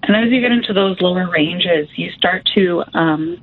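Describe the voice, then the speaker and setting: A woman's voice: lowish voice, normal speed, breathy edge. A young adult woman music therapist, explaining her voice work as an interview guest with frequent fillers. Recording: tinny phone-like line.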